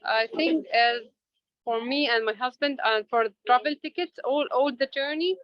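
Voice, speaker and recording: A woman interview guest speaking English as a second language, answering informally and haltingly as she searches for words. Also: high-pitched, no room sound. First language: not English. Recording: dull lo-fi audio.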